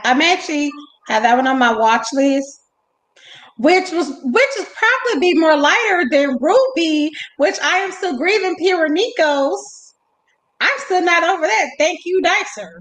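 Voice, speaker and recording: Young woman host, raspy and high, chatty livestream talk, echoey home room. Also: animated, moderate pace, fairly bright tone. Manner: chatty, reads viewer comments aloud. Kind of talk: casual livestream chat. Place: home room on a video call.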